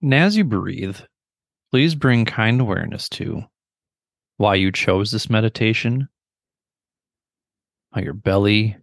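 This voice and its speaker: Low, gravelly male meditation guide. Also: no room sound, clean studio sound. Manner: measured, instructional, gentle phrasing with pauses.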